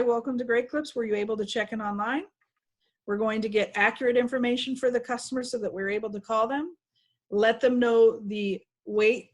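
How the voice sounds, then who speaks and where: A woman trainer giving an informal, instructive walkthrough to staff over a video call. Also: fairly high pitch. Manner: instructive, conversational. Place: video call with screen sharing.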